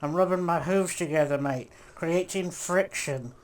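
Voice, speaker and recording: A woman's voice: fairly low pitch, unhurried, lightly acted. A woman voicing a breezy zebra puppet, in a comedic puppet show. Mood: breezy, matey.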